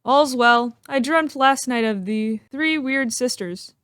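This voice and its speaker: A woman playing a loyal, watchful soldier and father in an amateur Shakespearean dramatic reading, with measured, earnest delivery. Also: sits high, fairly bright tone, smooth, dry recording. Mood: courteous. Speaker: amateur performer in a library reading.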